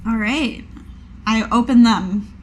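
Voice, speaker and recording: Slow-talking woman playing a tabletop game. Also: a little high, low-quality recording. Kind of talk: casual in-character play.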